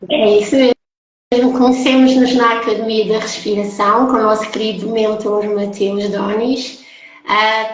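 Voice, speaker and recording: A woman with a European Portuguese accent hosting a casual live-stream chat as a holistic therapist. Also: on the high side. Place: echoey indoor room, online live call. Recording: very echoey room, live stream.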